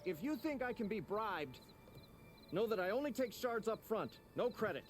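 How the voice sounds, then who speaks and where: A fretful, money-minded game merchant with a fairly high voice. He swings widely in pitch as he delivers blunt, dramatic warnings. Music plays behind him. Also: big pitch swings, moderate pace. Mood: haughty. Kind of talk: video game character acting.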